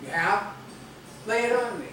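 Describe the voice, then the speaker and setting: Male actor as unhurried folksy adviser, high voice, storytelling, very echoey distant stage. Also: animated. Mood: wry. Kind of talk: stage play acting. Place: theatre stage, picked up from a distance. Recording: echoey, noisy stage videotape from far off.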